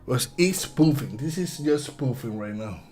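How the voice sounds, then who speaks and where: A Puerto Rican man in his thirties speaks slowly and softly, often stopping to restart his sentences. His voice sits at a middle pitch for a man. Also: expressive, soft background music. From Puerto Rico. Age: 30s. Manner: halting, soft-spoken, many restarts. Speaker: futures trader guest. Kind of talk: live-stream chat while trading.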